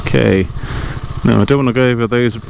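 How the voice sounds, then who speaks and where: A man's voice: medium pitch, fairly quick, gravelly. A man chatting casually to a vlog as he rides a motorbike, rambling, self-deprecating and easily sidetracked. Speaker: motorcycle vlogger. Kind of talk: casual vlog chat while riding. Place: outdoors, riding a motorbike inside a helmet. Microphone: lavalier inside helmet. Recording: loud wind, engine and road noise. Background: engine, wind and passing traffic.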